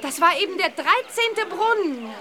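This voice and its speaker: A woman's voice: very high-pitched, animated, moderate pace, sharp tone, exaggerated voice. A woman playing a plucky, cheeky young witch girl in a children's audio drama, with emphatic delivery. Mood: busy, matter-of-fact. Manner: emphatic, chants rhyming spells.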